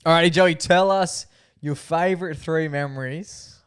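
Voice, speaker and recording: A young man co-hosting a podcast with his mates. His voice sits a little high, and he rambles through stories in relaxed, loose chat, dropping the odd swear word. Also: normal speed, over music. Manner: rambling storyteller, casual swearing. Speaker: podcast co-host.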